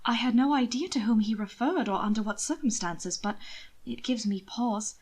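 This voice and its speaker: Woman voicing an anxious, halting young nobleman. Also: on the high side, a little mellow, breathy edge. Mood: troubled. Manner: halting, uncertain. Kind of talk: audiobook character dialogue.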